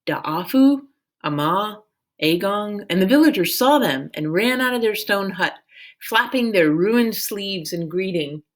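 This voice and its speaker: A woman reading a children's novel aloud as expressive storybook narration. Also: mid-range voice, a little slow.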